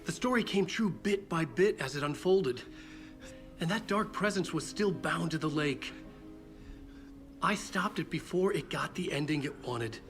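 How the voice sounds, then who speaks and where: A man's voice: on the high side, lively intonation, unhurried, soft background music. An actor playing a weary, shaken male novelist who stumbles and repeats words when unsure. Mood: earnest. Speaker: writer.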